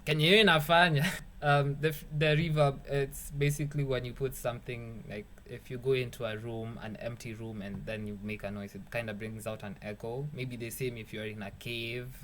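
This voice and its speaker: Young Kenyan man, mid-pitched, casual tutorial, jokey, faint noise floor. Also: steady tone, normal speed, fairly bright tone. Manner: rambling, joking, swears a lot, mixes in Swahili. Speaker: music producer. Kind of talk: casual beat-making tutorial.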